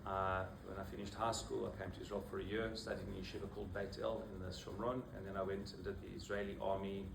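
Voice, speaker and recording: Male rabbi, 50s, South African accent, tired and halting delivery, distant mic with background noise. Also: deep voice, little pitch movement, creaky, reverberant. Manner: halting, many fillers and restarts. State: low-energy, weary. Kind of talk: informal talk to a small group.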